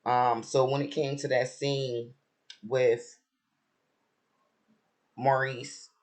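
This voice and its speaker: A man with a raspy voice who has just woken up, chatting casually about a TV episode with lots of fillers and restarts. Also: mid-range voice, extremely slow. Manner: relaxed, rambling, many fillers and restarts. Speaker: reality TV commentator.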